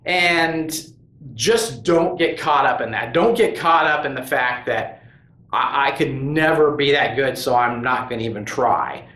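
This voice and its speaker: A man's voice: mid-range voice, measured pace, bright, forceful tone, reverberant. A man hosting a tabletop gaming channel, talking casually and earnestly to camera, rambling with many restarts and fillers. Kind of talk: casual opinion monologue to camera.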